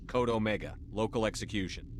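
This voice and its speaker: Steady low-voiced soldier hero, played by a man. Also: fairly quick, lightly acted. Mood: determined. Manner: direct, no-nonsense. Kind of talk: game acting. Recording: clear background ambient noise.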